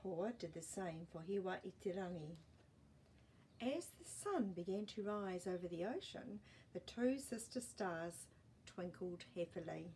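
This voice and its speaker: A woman's voice: fairly low pitch, expressive, measured pace, mellow, a little hiss or hum. A woman reading a children's story expressively, with playful asides to listeners.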